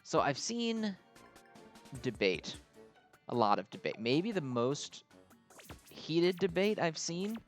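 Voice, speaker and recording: A man's voice: a little high, sing-song, takes it slowly, pauses often, soft background music. A man giving casual, unscripted game commentary as a video host, explaining things in a hesitant, rambling way.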